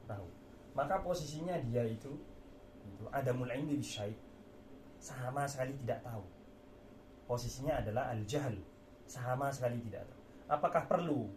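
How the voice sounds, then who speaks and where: A man's voice: medium pitch, darkish tone. A man giving an informal lecture as a religious teacher, explaining through repetition and rhetorical questions. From Indonesia. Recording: echoey room with faint background noise.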